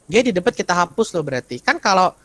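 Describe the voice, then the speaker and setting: A man's voice: sits high, very animated, fast talker, fairly bright tone, no echo. Man teaching in dry, close sound. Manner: conversational, often checking understanding with short tags. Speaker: accounting lecturer. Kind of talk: informal explanatory teaching with question and answer.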